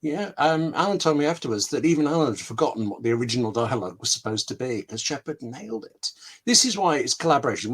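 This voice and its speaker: Man, scriptwriter guest, conversational, emphatic, digressive, anecdotal, mid-pitched voice. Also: a bit bright. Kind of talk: conversational interview talk about craft.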